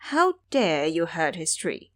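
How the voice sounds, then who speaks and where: Woman as a stern, measured goddess. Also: low voice, very animated, exaggerated voice. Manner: measured, formal, admonishing. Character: serene, authoritative female bodhisattva, sternly maternal.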